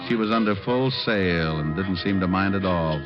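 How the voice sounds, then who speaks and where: A low-voiced, cocky private eye played by a male actor in 1940s radio noir style. He is wry and sardonic with a clipped delivery. The recording is dull and old, with music behind it. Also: moderate pace, close to an everyday voice. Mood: wry narration. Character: wisecracking, cocky hard-boiled male private detective. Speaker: narrator and lead actor.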